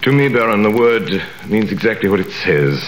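An actor playing a cool, wealthy, mysterious nobleman in a 1930s radio drama, suave, measured and quietly superior. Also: on the low side, animated, slight background noise, natural voice. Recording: echoey vintage radio recording with music.

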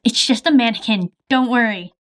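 A woman's voice: fairly high pitch, normal speed, natural voice. A woman voicing a shady, pushy stranger in an improvised game roleplay, evasive and dismissive of questions.

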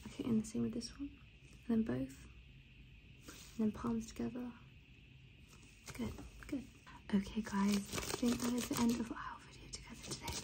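A woman speaking in a whispery, breathy soft voice in an ASMR exam roleplay, gentle and reassuring, often repeating short phrases. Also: fairly high pitch, fairly even intonation, moderate pace, pauses often, background noise. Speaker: ASMR roleplay creator playing an examiner.

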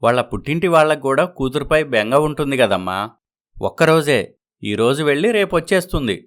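A man playing a dutiful adult son in an animated moral story, speaking earnestly and pleadingly. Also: mid-range voice, a little fast, lightly acted. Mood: concerned. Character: dutiful adult son torn between wife and parents.

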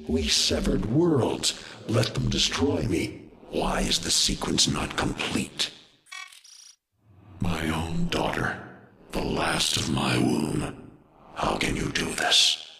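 An actor playing an ancient, mournful alien destroyer with a brooding, ominous delivery over music. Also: male voice, fairly low pitch, vocal fry, reverberant, heavily acted. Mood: anguished, accusing.

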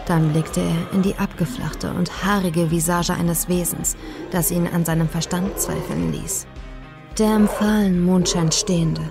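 A woman reading a horror story as a scripted, dramatic narration, measured and suspenseful. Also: on the low side. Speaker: audiobook narrator. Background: faint atmospheric music.

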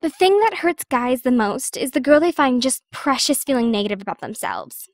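A woman's voice: high-pitched, sing-song, normal speed, dry recording, clean studio sound. A woman playing a bossy, vain, manipulative teenage girl giving lessons in an audio drama.

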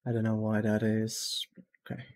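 A man's voice: on the low side, completely flat delivery, moderate pace, heavy background noise. Man, 30s, Dutch native speaker, casual game commentary, headset mic, laughs at himself. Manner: chatty, laughs at own mistakes. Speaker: gaming streamer. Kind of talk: casual let's-play commentary. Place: small room at home.

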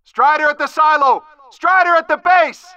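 A man's voice: very high voice, moderate pace, sharp tone, no room sound, dull audio. An actor playing an alert male base announcer in a video game, calling out battle warnings in an urgent, clipped manner.

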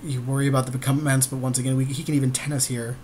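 A man's voice: mid-range voice, flat delivery, fast talker, a little mellow. A man giving casual, jokey, slangy commentary on a game as a podcast co-host. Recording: noisy amateur recording.

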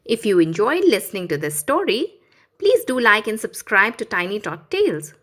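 A woman storyteller reading aloud to small children, expressive and addressing them directly as host. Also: mid-range voice, moderate pace.

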